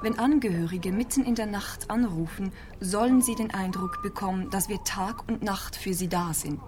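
A medium-pitched young woman, played by an actress, with a brisk, officious clinic manner. She gives curt, businesslike lines in a radio play, over clearly audible background noise. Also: lightly acted.

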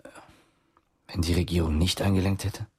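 An actor playing a terse, guarded man on the run in a German radio drama, with a husky, gravelly voice. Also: low voice, little pitch movement, normal speed, darkish tone. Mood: probing.

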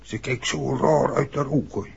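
A man's voice: medium pitch, a little slow, gravelly, breathy edge, heavily acted. An actor playing a gossipy, plain-spoken older male innkeeper, talking in a folksy, colloquial way in a 1960s radio drama. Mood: confiding, chatty. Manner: colloquial, folksy, peppers speech with tag questions. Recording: muffled old radio recording.

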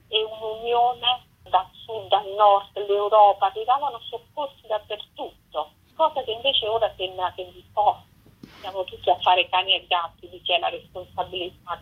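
Over a narrow, tinny telephone line, a woman. Her voice is somewhat husky and sits in the middle range. She talks in a reflective, discursive way, stressing her points as an interviewee does on radio. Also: mid-range voice. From Campania, Italy. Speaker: interviewed photographer. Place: remote phone call to a radio show.

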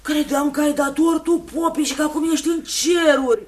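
An actress playing a sly, chummy old opium den keeper in a radio play, wheedling with folksy endearments and prodding questions. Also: female voice, sits high, natural voice. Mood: prying, coaxing. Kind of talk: radio theatre acting.

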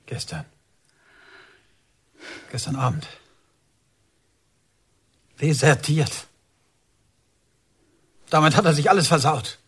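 An actor playing a stern, bitter village policeman and father in a radio drama, curt and grim with a husky voice. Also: on the high side, slow, long pauses, fairly bright tone, full character voice.

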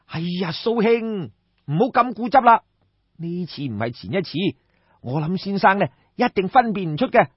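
A man's voice: sits high, sing-song, moderate pace, breathy edge, no echo, muffled recording, heavily acted. A man with a Cantonese accent voicing a brash, crafty young schemer, exclamatory and coaxing, in a storybook tale. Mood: coaxing, insistent.

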